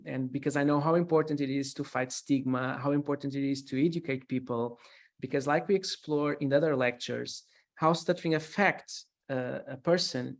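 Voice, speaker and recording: Hesitant male webinar host. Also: medium pitch. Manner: hesitant, many fillers and restarts. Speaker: host and speech therapist.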